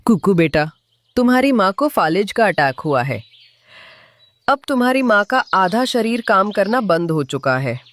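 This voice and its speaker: A woman's voice: mid-range voice, very animated, unhurried, no room sound. A woman voicing a serious female bulbul doctor, giving grave, informative talk in a children's cartoon.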